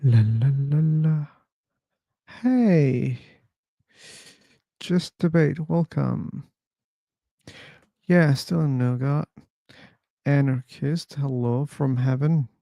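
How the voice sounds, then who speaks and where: A man's voice: mid-range voice, very slow, vocal fry, long pauses, warm tone, no echo. A man hosting a casual live-stream discussion on a video call, chatting idly and repeating words while he waits. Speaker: host. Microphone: computer mic on a video call.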